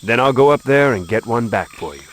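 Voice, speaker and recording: A man's voice: medium pitch, lively intonation, brisk, a little hiss or hum, natural voice. An actor playing an eager young adventurer in a video game, polite and formal in his requests. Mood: earnest, eager. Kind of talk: video game cutscene acting.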